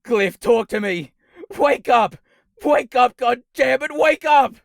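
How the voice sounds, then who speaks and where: A man's voice: extremely high pitch, expressive, slow pace, vocal fry, dry recording, clean studio sound, exaggerated voice. A man playing an anxious, caring robot crew member in an audio drama, urgent and emotional. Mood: desperate.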